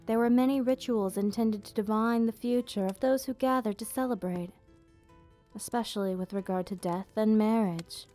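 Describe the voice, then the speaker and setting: A woman playing a gentle, nostalgic vampire hostess in an ASMR roleplay, speaking softly in slightly formal, unhurried explanations. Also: on the high side, lightly acted. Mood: gentle, explaining. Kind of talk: ASMR roleplay, soft storytelling. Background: background music.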